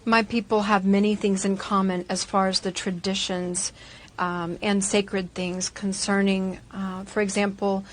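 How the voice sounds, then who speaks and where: A woman speaking in an interview clip, calm and measured as she lists things one after another. Her voice stays very even and steady in pitch. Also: a little hiss or hum. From Cherokee nation, North America.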